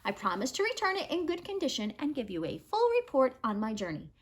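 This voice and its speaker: A woman in her thirties reading aloud like a teacher, with an expressive, emphatic storytelling delivery for children. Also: high voice, quick pace. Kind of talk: expressive children's picture-book read-aloud. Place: small living room at home, indoors.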